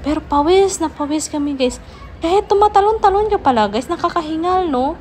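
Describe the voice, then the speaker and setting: A woman's voice: very high-pitched, expressive, brisk, a little mellow, a little hiss or hum. A Filipino woman narrating a casual vlog, chattily addressing her viewers. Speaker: vlogger.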